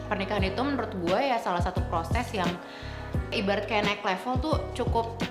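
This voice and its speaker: A woman's voice: medium pitch, a little fast. A woman in her twenties with an Indonesian accent, talking casually in a group discussion. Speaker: panelist. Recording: roomy, loud music underneath. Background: loud background music.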